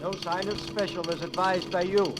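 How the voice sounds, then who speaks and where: A woman's voice: on the low side, steady tone, moderate pace, over music, close to an everyday voice. Telegraph message reader played by a woman, formal and clipped, 1940s radio drama, prominent sound behind. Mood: clipped, impersonal.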